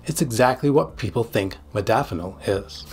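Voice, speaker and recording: A man in his forties, a psychiatrist hosting a scripted explainer to camera with emphatic, dramatic phrasing and a slightly husky voice. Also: fairly low pitch, lively intonation, moderate pace, darkish tone. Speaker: psychiatrist and video host. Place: small home studio room. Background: background music.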